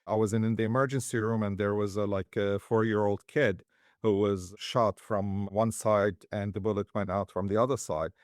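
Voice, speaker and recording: Man from Syria, interview guest, lowish voice, steady measured delivery, dry close mic. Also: no echo. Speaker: guest, humanitarian health worker. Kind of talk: interview answers.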